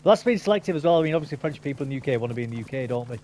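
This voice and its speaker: A man's voice: mid-range voice, expressive, racing, darkish tone. A man chatting casually with a friend while gaming, rambling and opinionated with many restarts. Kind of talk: casual chat over gameplay.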